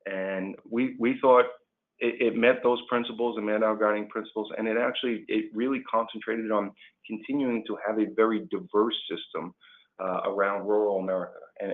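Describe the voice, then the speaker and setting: Low-voiced man on a phone-like line. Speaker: labor union leader as webinar guest. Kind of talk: prepared remarks and answers on a policy webinar.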